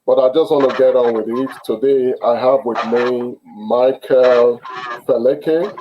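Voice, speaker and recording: A man hosting an online interview over a video call, speaking haltingly with many fillers and restarts. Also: medium pitch, fairly even intonation, lo-fi sound, noisy background. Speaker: host.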